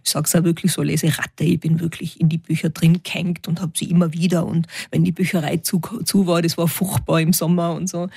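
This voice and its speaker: Husky-voiced Austrian storyteller woman. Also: on the low side, a little mellow, dry recording, studio quality. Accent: Tyrolean Austrian German. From Tyrol, Austria. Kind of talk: interview.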